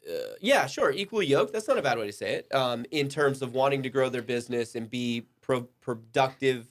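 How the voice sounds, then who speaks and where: A man's voice: medium pitch, expressive, a little fast. Man hosting a casual podcast discussion. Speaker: podcast host.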